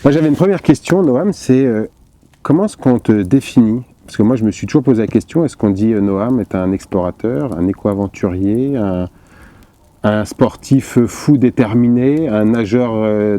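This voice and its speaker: A man hosting a casual podcast, asking questions in a relaxed, hesitant way. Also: on the low side, moderate pace. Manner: relaxed, hesitant, lots of fillers. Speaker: podcast host.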